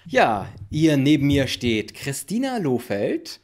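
A man's voice: mid-range voice, wildly swooping pitch, slow, a little mellow. A man in his thirties presenting in a casual promotional chat. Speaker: presenter, writer and actor. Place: living room, indoors.

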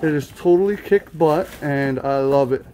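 A record store host chatting casually and excitably during an unboxing, often repeating phrases for emphasis. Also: medium pitch, normal speed. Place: store back room with shelves and boxes. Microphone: handheld camera mic. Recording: clicky, noisy handheld recording.

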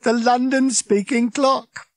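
A man voicing an excitable, fierce, rambling alien man who rants loudly and indignantly. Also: very high-pitched, slow pace, no room sound, studio quality, pitched above the performer's own voice, heavily acted. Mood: urgent. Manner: loud, indignant ranting.

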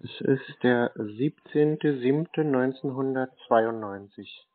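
A man taking a phone call, answering in a deadpan, evasive way while playing dumb. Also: medium pitch, takes it slowly. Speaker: call recipient pranking a scammer. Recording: tinny phone line.

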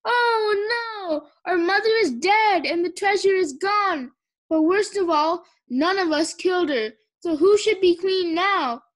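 Woman doing an eldest girl dragon, high voice, commanding, impatient, dramatic, storybook character. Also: animated, moderate pace, fairly bright tone, poor audio. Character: bossy, biggest and eldest young female dragon.

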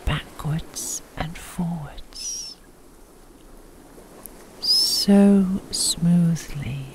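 A woman leads a guided relaxation in a hushed, breathy voice pitched a little low. She speaks extremely slowly, in short scripted phrases with long pauses. A faint ambient noise sits behind her. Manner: soft, whispery, breathy delivery with long pauses. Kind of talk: slow guided sleep meditation, scripted narration.

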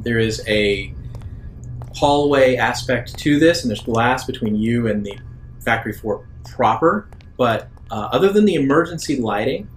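A man narrating an improvised tabletop roleplaying game as game master, in a measured way with hesitant pauses. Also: lowish voice. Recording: roomy echo, faint background noise.

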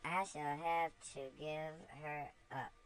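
A woman reads a strict lady at the end of her patience, weary and complaining. The voice sits a little above the reader's own. She speaks slowly and heavily. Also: low voice, fairly even intonation, voice pushed up. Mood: exasperated. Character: exasperated strict older woman, worn out.

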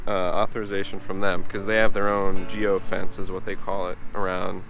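A young man with a fairly low voice speaks outdoors in a parking lot. He explains things hesitantly, stopping often for "um" and "uh". Music runs underneath, and the sound is picked up by a camera someone else is holding. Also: flat delivery, vocal fry, a bit bright. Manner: hesitant, with pauses and "um" fillers. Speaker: drone pilot. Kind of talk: casual explanation.

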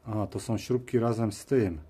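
A man's voice: fairly low pitch, fairly even intonation, moderate pace, mellow. A man giving informal running commentary as he works, muttering to himself in short phrases. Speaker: video host. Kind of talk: casual running commentary while working. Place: cluttered workshop bench, indoors. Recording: clear background noise with clicks. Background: noise and clicks of tools and parts.

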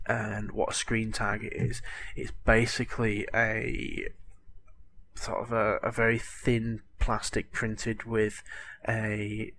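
A man's voice: lowish voice, pushed and bright, a little hiss or hum. A man with a cold and a cracking voice, rambling chattily with lots of fillers over game-tutorial footage. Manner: chatty, rambling, apologetic, lots of "you know". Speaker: gaming tutorial host.

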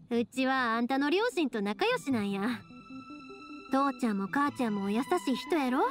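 A woman voicing a chatty, warm-hearted young fireworks maker with a Kansai accent in an anime-style game dub. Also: high-pitched, sing-song, a little slow, soft background music. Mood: warm, reassuring.

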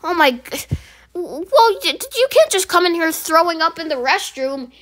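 A woman voicing an angry male customer who speaks slowly and accuses sternly. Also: very high voice, animated, fairly bright tone, voice pushed up.

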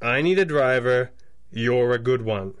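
An actor playing a wealthy young 1930s gentleman in a radio drama, formal and polite with a dry wit. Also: medium pitch, unhurried, clean tone, natural voice. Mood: composed, persuasive. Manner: formal, courteous, dryly witty.

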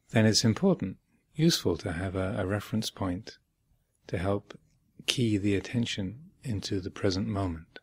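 A low-voiced man leads a guided meditation at a very slow pace. His voice has a gravelly creak, and he offers each short phrase in a measured way, leaving long pauses before the next. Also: no echo. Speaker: meditation teacher. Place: retreat center, close dry recording.

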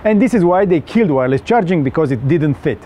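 Romanian guy doing a phone review, kinda high voice but mellow, a bit of street noise behind. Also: expressive, fast talker, dull audio. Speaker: tech reviewer.

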